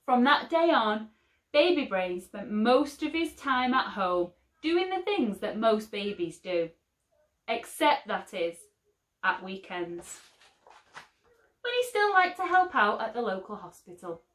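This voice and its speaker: A woman teacher reading a picture book aloud for children, slow and expressive. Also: on the high side. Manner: slow, expressive, lively storytelling. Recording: roomy echo.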